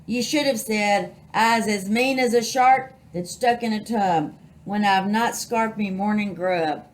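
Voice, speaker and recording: A woman voicing a gruff, cranky, demanding captain from a storybook, bellowing in salty dialect. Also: medium pitch, measured pace, a bit bright, lightly acted, poor audio. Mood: scolding, emphatic. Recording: noticeable background noise.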